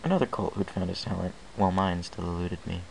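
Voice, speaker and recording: A man narrates fan fiction in the first person. His voice is fairly low with a gravelly creak. The homemade recording carries some noise. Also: fast talker, a little mellow, low-quality recording.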